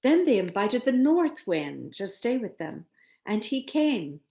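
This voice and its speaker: Slow, expressive woman reading a children's story. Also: mid-range voice, dull audio. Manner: expressive, with dramatic emphasis. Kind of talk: children's story read aloud.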